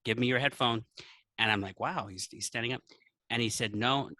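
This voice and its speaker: A man's voice: mid-range voice, brisk, sharp tone, no echo. A man telling an anecdote in a casual podcast style, acting out the dialogue as he goes. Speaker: podcast guest, teacher. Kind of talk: casual storytelling.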